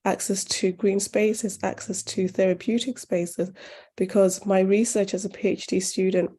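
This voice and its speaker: A woman from northern Uganda, raised in the UK, who founded a charity, speaking at a forum in a measured, earnest way with some pauses. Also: medium pitch, level tone, dark tone, husky. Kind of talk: forum talk and answering questions.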